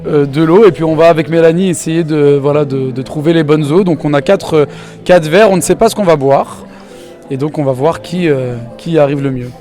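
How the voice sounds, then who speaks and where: A man's voice: on the high side, fairly quick, dark tone, soft background music. A man interviewing people in a casual, conversational way. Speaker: interviewer at an event. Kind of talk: casual street-style interview. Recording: outdoor event with crowd chatter behind.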